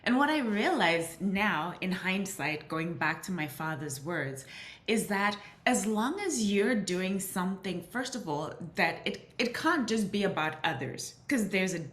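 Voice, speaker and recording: A woman's voice: mid-range voice, lively intonation, unhurried, fairly bright tone. Earnest woman with an echoey sound. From Southern Africa. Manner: earnest, with frequent restarts and "you know". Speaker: guest. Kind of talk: reflective interview storytelling. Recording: roomy echo.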